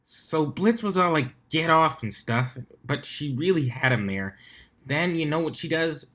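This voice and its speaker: Man acting a female pegasus flyer, brash, gossipy, slangy, lifted pitch, excitable storyteller, cartoon fan fiction. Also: unhurried. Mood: gossipy, excited. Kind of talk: amateur fan fiction character reading.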